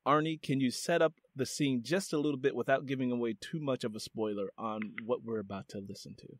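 A man hosting a book interview podcast, reading a historical passage aloud in a measured, steady storytelling manner. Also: medium pitch, no echo, studio quality.

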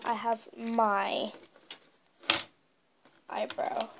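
Croaky young girl with a cold, high-pitched and rambling, filmed on a hissy phone in her bedroom. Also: level tone, extremely slow. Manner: chatty, rambling with many fillers. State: hoarse from a cold. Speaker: beauty vlogger. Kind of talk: casual makeup collection tour. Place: bedroom at home, indoors. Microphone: phone camera held in hand.

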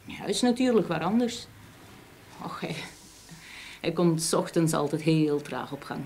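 Prim upper-class lady, radio play, creaky, curt, disdainful, faint background noise. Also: sits low, animated, measured pace, darkish tone. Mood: curt, factual. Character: haughty, prim, level-headed married upper-class woman. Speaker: actor. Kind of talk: Dutch radio drama dialogue.

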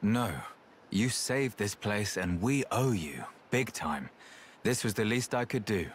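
A man's voice: fairly low pitch, lively intonation, a little slow, slight background noise. An actor playing a stoic, kindly armoured machine man in a video game. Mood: steady, grateful.

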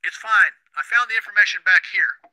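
A man's voice: high voice, brisk, pushed and bright, rough recording. An actor playing a jumpy, bossy, impatient radio station man in a game radio drama, urgent and commanding.

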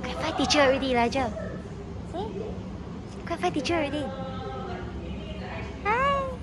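A woman's voice: sits high, sing-song, normal speed, heavy background noise. A woman with a Singaporean English accent, a parent filming and coaxing her toddler at an indoor gym, cheering and laughing often. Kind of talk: casual encouragement to a child. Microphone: phone camera held by the filmer. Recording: loud music and crowd chatter behind.

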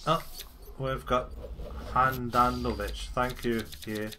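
A man's voice: medium pitch, little pitch movement, slow pace. Grumbling male gamer, noisy recording. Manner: sweary, grumbling, sarcastic. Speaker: gamer making a video. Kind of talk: casual gameplay commentary.

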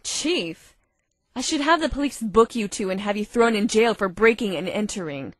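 A woman's voice: mid-range voice, expressive, normal speed, a little hiss or hum, natural voice. A woman playing a stern, haughty cartoon woman with a curt, sarcastic, commanding manner. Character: stern, haughty adult woman confronting intruders.

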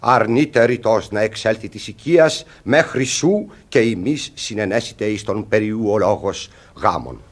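An actor playing a pedantic police commissioner in a radio comedy, speaking with pompous, archaic legal formality. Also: male voice, fairly low pitch, lively intonation. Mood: officious. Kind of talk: theatrical radio comedy acting.

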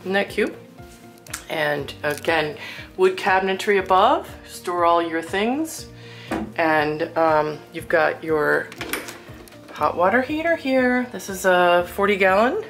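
Lady realtor showing a house, low-ish voice, takes her time, gushing a bit, music behind. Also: animated, slow pace, fairly bright tone. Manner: admiring, exclamatory. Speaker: realtor showing a home for sale. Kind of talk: casual walkthrough home tour narration. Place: indoors, inside a furnished house.

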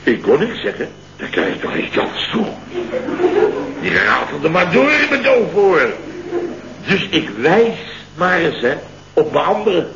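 An actor playing a flustered, indignant man who tells a farcical tale of woe in halting, repetitive bursts, in a radio comedy. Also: on the high side, very animated, vocal fry, full character voice. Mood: aggrieved. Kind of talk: comic radio play dialogue. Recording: dull, noisy early-1970s radio recording.

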